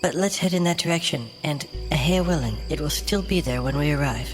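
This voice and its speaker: A man voicing an earnest, determined elf woman with a quiet, sighing delivery in a fantasy audiodrama. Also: fairly high pitch, brisk, pitched above the performer's own voice, close to an everyday voice. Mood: resigned. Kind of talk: audiodrama character dialogue. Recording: long hall reverb, music underneath.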